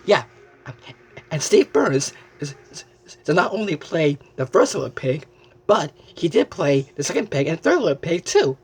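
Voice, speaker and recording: A man hosting a story show, presenting excitedly to viewers and often halting to repeat phrases. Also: fairly high pitch, husky.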